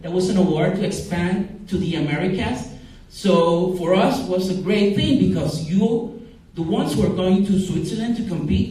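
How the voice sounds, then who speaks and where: High-voiced Costa Rican man, very echoey room. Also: mellow. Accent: Costa Rican Spanish. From Costa Rica. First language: Spanish. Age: 40s. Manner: halting, repeats words for emphasis. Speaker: startup founder speaking at a summit. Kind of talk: informal conference talk, personal storytelling. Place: event room at a startup summit. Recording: very echoey room, far from the mic.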